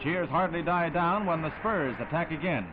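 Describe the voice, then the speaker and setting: A man's voice: a little high, normal speed, heavy background noise. A man narrating a 1960s newsreel in a formal, declamatory announcer's style. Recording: phone-like vintage 1960s newsreel soundtrack.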